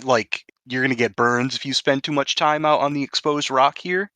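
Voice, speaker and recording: A man's voice: medium pitch, steady tone, normal speed, bright, forceful tone, no room sound. A man narrating a tabletop game as game master, explaining things in a conversational way.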